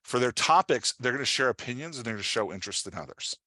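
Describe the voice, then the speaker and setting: A man's voice: medium pitch, animated, quick pace, pushed and bright, no room sound. A man giving an informal workshop as a writing coach, explaining with examples and often checking in with the listener. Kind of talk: informal instructional presentation.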